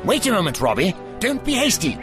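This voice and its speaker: A man's voice: sits high, wildly swooping pitch, brisk. A man acting in an audio drama as a genteel, cautious, fussy adventurer with courteous, old-fashioned phrasing. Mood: anxious, chiding. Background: background music.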